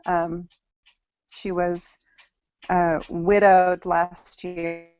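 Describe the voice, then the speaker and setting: A woman in her thirties from Samoa and Hawaii gives a class presentation over a video call, speaking very slowly and stopping often mid-sentence. Her voice sits a little low, and the sound is dull and lo-fi. It is dry, with no room echo. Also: flat delivery, a little mellow, clean tone, soft background music. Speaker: student presenting to an online class.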